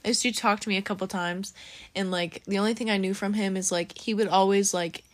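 A woman's voice: mid-range voice, steady tone, fairly quick. Chatty young woman telling work stories. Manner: chatty, lots of "like", acts out quoted voices. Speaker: podcast co-host, drive-thru worker. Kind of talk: casual chat.

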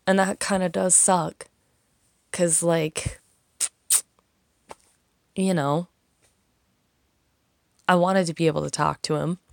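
A woman's voice: fairly low pitch, fairly even intonation, slow pace, creaky, pauses often, no room sound, studio quality. A young woman talking casually and frankly, rambling and swearing as she vents. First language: English. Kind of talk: casual monologue, venting.

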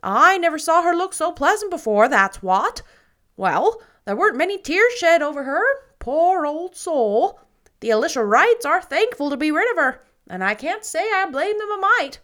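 A woman's voice: high voice, big pitch swings, normal speed, fairly bright tone, voice pushed up. A woman voicing a gossipy older woman who talks solemnly and emphatically, full of opinions. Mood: emphatic.

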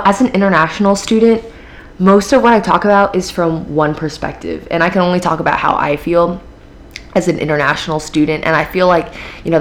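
A teenage woman with an American accent hosting her own podcast, talking casually with lots of fillers and an upbeat, chatty manner. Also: sits low. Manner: chatty, filler-heavy, upbeat with frequent laughs. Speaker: podcast host. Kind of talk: casual solo podcast talk.

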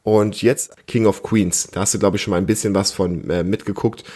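A man's voice: lowish voice, steady tone, normal speed, darkish tone, breathy edge. A man in his thirties co-hosting a family podcast as a parent, chatting casually with a child, explaining simply and asking prompting questions. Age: 30s.

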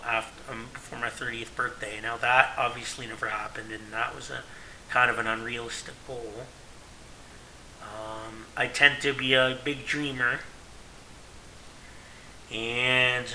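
A man's voice: mid-range voice, sharp tone, slight background noise, poor audio. A Canadian man in his late thirties, talking earnestly and at length in a rambling, self-correcting personal monologue as a podcast host. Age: 30s.

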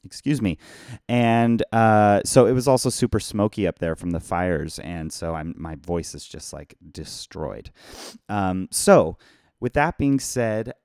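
A hoarse, tired man hosting a casual live-streamed podcast from his phone, rambling and chatty as he thanks viewers by name. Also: lowish voice, expressive, takes it slowly, a little mellow, dry recording, clean studio sound. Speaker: podcast host.

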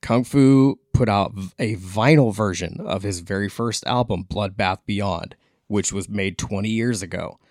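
A man's voice: fairly low pitch, a little slow, darkish tone, no echo, studio quality. A man co-hosting a podcast, casually passing on event and release announcements. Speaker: podcast co-host and performer.

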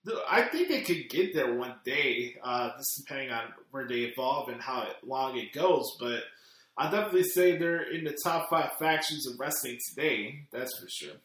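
A man's voice: medium pitch, animated, normal speed, fairly bright tone. Echoey man hosting a podcast. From Chicago, United States. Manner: rambling, many fillers and restarts. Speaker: podcast host. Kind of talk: casual podcast Q&A. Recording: very echoey room.

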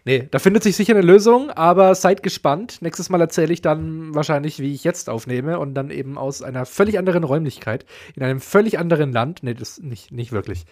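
A man co-hosting a casual podcast, his voice a bit hoarse from a sore throat, talking in an easygoing, joking way. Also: on the high side, a little fast, few pauses, darkish tone, no room sound, clean studio sound. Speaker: podcast co-host. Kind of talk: casual chat. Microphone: home podcast mic.

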